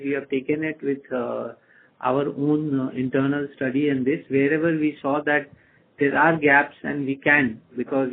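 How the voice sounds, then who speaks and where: An Indian-accented male executive with a husky, slightly high voice speaks over a narrow phone line. He answers questions on an earnings call, pausing and repeating phrases as he explains. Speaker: company executive answering analysts.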